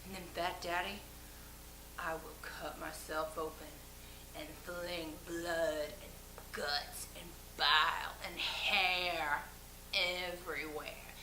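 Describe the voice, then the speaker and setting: A woman playing a melodramatic, vengeful young woman, making drawn-out threats in an overwrought theatrical monologue. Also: fairly low pitch, flat delivery, bright, forceful tone. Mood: menacing, overwrought. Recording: roomy echo, noisy background.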